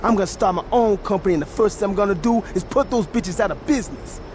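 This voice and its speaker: An actor playing a young, ambitious, defiant street hustler, streetwise and determined, in a video game. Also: male voice, high voice, quick pace, natural voice. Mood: hopeful, defiant. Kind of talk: video game cutscene acting. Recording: clear outdoor traffic noise.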